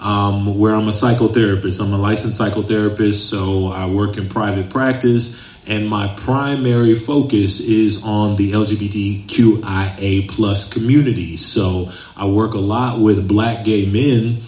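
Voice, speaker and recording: Articulate man, low voice, echoey room. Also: fairly even intonation, measured pace, darkish tone. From Sacramento, California. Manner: enthusiastic and articulate. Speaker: psychotherapist. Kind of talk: self-introduction at a live event.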